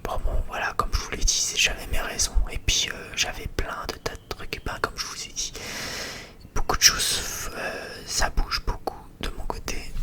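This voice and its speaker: A man whispering breathily in a casual, unscripted ASMR chat, rambling and hesitating as he talks. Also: normal speed. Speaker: ASMR video creator.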